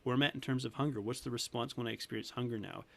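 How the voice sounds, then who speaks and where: Guest coach, man in his 30s, gravelly voice, earnest and chatty, laughs a lot. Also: medium pitch, steady tone, very fast. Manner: earnest, laughs often, restarts sentences. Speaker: weight loss coach, guest. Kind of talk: casual interview talk.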